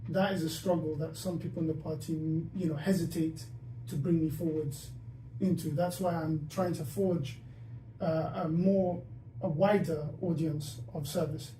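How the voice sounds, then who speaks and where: A man's voice: on the high side, steady tone, moderate pace, dark tone. A young man hosting a podcast, talking through his thoughts with many restarts. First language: not Dutch. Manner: talks in bursts with many restarts. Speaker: podcast host. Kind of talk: casual discussion. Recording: echoey room, mic some distance off, faint noise.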